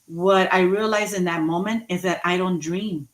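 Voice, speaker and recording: A woman in her forties giving an inspirational talk, slow and emphatic, repeating key phrases and laughing at her own jokes. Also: lowish voice, fairly even intonation. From Mexican heritage, raised in the US. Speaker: motivational speaker. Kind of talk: inspirational speech.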